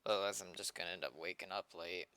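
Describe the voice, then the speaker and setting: A man with a fairly low, very bright and forceful voice mutters casual commentary as he works at his computer. He talks to himself in a frustrated, sweary way. The sound is close and dry. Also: steady tone, fast, gravelly, smooth, no echo. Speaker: livestreaming game server developer. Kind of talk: casual muttered commentary while coding on stream.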